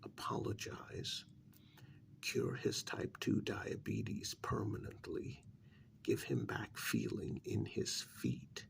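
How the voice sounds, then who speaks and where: A man speaking softly in an ASMR role-play, half whispering, with a breathy, creaky voice and a deliberate, halting delivery in short phrases. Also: lowish voice, sing-song.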